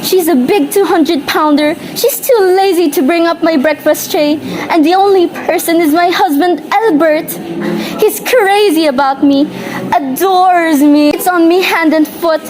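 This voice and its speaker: Radio play role: actress as imperious, nervy invalid wife, extremely high voice, dramatic complaining, slightly hissy roomy sound. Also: female voice, unhurried. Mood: anxious, flustered. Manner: fretful, indignant, dramatic complaining. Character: neurotic, imperious bedridden wife, frightened and demanding. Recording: roomy, with background hiss.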